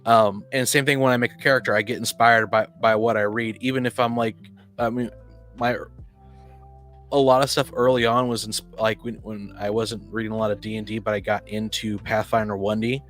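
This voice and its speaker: A man co-hosting a casual discussion of tabletop games, acting out imagined quotes in an animated way. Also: on the low side, brisk, faint music behind. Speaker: podcast co-host and game master.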